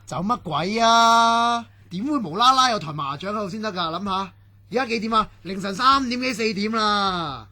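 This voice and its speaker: A cocky young guy acted by a man in a radio horror play, scoffing impatiently in Hong Kong Cantonese. His voice sits high and has a sharp, bright edge. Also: expressive, normal speed, heavily acted. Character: cocky, impatient young male student who scoffs at ghosts. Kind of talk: radio horror drama acting.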